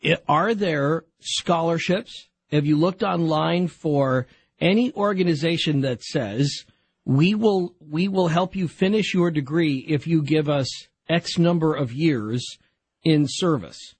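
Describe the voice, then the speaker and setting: A man's voice: a little high, takes it slowly, breathy edge, no echo. A man hosting a radio call-in show, guiding callers with frequent stammering restarts. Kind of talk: casual call-in chat.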